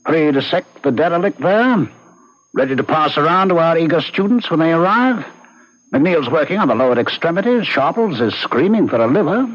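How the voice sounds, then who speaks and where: Muffled radio drama sound carries a young villainous doctor. His husky voice swings widely in pitch, commanding and coldly manipulative, with theatrical menace. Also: lively intonation, moderate pace. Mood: cold, domineering. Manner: commanding, smooth-talking, theatrical. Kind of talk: radio horror drama acting. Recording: muffled old radio recording.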